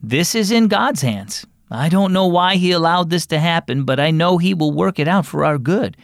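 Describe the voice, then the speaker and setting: A man voicing a gentle, faithful father who speaks slowly and softly in a children's storybook. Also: fairly high pitch, animated, vocal fry, no echo, clean studio sound. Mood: solemn.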